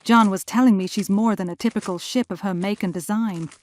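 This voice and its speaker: A woman acting in an audio drama as a gentle, anxious mother who is grieving. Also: medium pitch, fairly quick, lightly acted. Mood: warm, wry. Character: gentle grieving mother, returned after twenty years, anxious.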